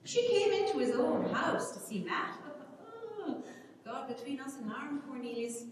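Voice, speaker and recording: A woman's voice: sits high, lively intonation, measured pace. A woman playing a young, plain-spoken country woman in a staged play reading, talking in an exclamatory, gossipy way. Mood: gossipy, arch. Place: large reverberant hall at a public event. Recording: echoey hall, far from microphone, noisy.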